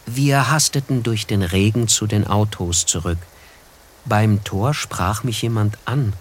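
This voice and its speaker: An actor playing a disillusioned, reflective narrator, telling a literary story in a measured way in a radio drama. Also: male voice, on the low side, slight background noise, lightly acted. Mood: somber. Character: reflective male first-person narrator, disillusioned.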